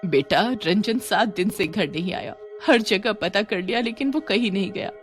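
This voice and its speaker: An anxious mother, acted out by a woman in a horror storybook. She speaks in a worried, pleading way at a medium pitch, over faint music. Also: moderate pace, natural voice. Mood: distressed. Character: anxious mother searching for her missing son.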